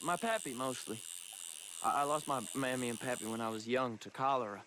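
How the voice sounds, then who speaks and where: An actor playing an anxious, timid young outlaw in a video game, with a rural American accent and a nervous, folksy, stammering manner. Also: male voice, mid-range voice, fairly quick, background noise, close to an everyday voice. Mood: subdued. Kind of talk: video game cutscene dialogue.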